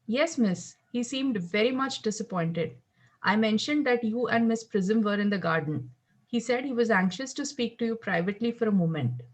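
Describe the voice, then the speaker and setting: A woman's voice: fairly high pitch, animated, moderate pace, low-quality recording. A woman reading a deferential servant in a Victorian comedy table read, plain and polite.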